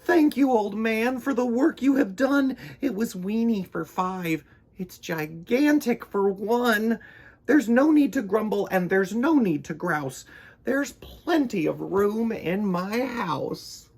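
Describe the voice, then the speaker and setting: A man's voice: high voice, animated, slow pace, darkish tone, pitched above the performer's own voice, exaggerated voice. A man voicing a dramatic, exasperated little old lady in a children's storybook. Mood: grateful, delighted. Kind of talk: animated children's storybook reading.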